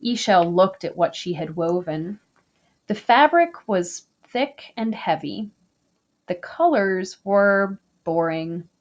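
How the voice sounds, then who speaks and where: A woman reading a children's picture book aloud, slow, gentle and expressive. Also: medium pitch, muffled recording. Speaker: storybook reader.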